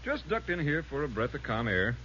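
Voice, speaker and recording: An actor playing a friendly, bantering police detective in an old radio drama. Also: male voice, medium pitch, sing-song, brisk, fairly bright tone. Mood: breezy teasing. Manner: breezy, bantering. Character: breezy, friendly male police detective, married pal. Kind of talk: 1940s-50s radio drama acting. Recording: muffled old radio recording, faint noise.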